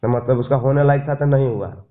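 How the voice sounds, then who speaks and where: A man's voice: medium pitch, brisk. Jharkhandi male interviewer on a dull phone line. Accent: Jharkhandi Hindi. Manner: repeats and clarifies points for listeners. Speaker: interviewer, journalist. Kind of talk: phone interview, questioning and restating. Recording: dull lo-fi phone call recording.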